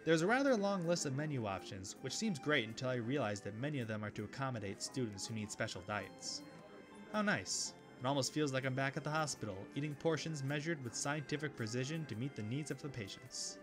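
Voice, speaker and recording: A man's voice: mid-range voice, brisk, music in the background. Man casually reading a visual novel. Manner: relaxed reading, laughs at own jokes, casual asides. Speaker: video game let's player.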